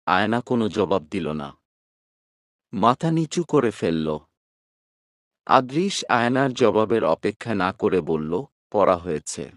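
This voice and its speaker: A man narrating a romantic story from a script in a measured, expressive reading style. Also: on the low side, dry recording, studio quality.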